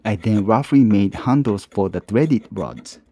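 Low, mellow man narrating a woodworking tutorial. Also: lively intonation, measured pace, slightly rough, no room sound. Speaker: woodworking channel host. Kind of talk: calm tutorial voice-over.

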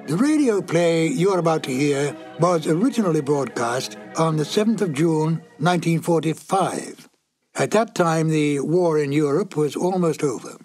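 A man hosting and introducing a reissued old radio drama, in measured, explanatory scripted narration. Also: fairly high pitch, animated, a little mellow, faint music behind.

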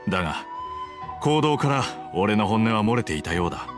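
A man playing a stern, conflicted, secretly kind samurai officer in an anime game, with a formal, measured, brooding delivery. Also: on the low side, big pitch swings, music in the background. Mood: subdued, candid. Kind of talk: anime video game voice acting.

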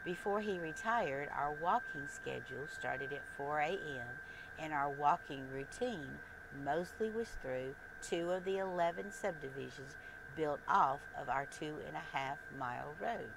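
An older woman earnestly reading a scripted devotional in a deliberate way. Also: low-pitched, animated. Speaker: devotional host. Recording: clearly noisy recording with ambient sound.

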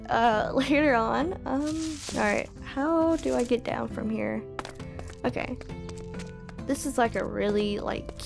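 A woman hosting a game roleplay, improvising casually in a halting, apologetic, rambling way. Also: a little high, creaky. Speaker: gaming roleplay host.

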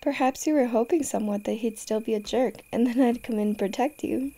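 A woman's voice: fairly high pitch, brisk, mellow. A woman playing a tough, fiercely protective young girlfriend in an audio roleplay, speaking directly to the listener. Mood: tender, protective.

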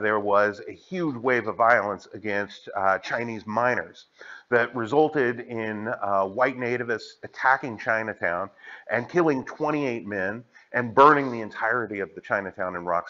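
A man's voice: lowish voice, sharp tone, dull audio. A man in his forties giving a guided tour in an indoor museum gallery, gesturing as he explains with frequent "uh" fillers, recorded by a camera a few steps away. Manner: gestures with both hands while explaining, frequent "uh". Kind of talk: spoken gallery tour lecture.

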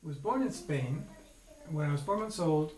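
A man's voice: fairly high pitch, lively intonation, a little mellow. Middle-aged man, echoey room. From Venezuela. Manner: measured, with small restarts. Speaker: interviewed parent. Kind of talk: casual explanation. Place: room with a wall map, indoors. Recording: echoey room, faint noise.

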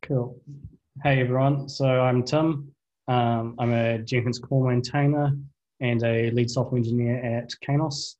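A young man presents a software topic in an even, flat tone, relaxed, with a few restarts. His voice comes through dull, lo-fi video call audio from an indoor room. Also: mid-range voice, unhurried. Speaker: conference presenter. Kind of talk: technical presentation.

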